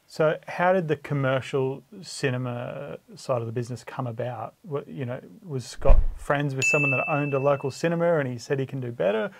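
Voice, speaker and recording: A man's voice: medium pitch, a little fast, creaky, hardly any pauses, a little hiss or hum. An Australian man hosting a casual, conversational interview. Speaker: interviewer, host.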